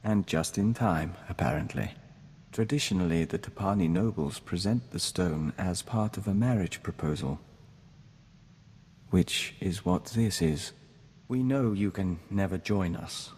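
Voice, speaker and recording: A man voicing a gentle, devoted diplomat of an insect hive mind, formal and measured, in a video game. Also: low voice, breathy edge, heavily acted. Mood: tender, earnest. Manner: formal, measured, speaks of himself as we. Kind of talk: scripted video game dialogue acting. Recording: long reverb, noisy game ambience.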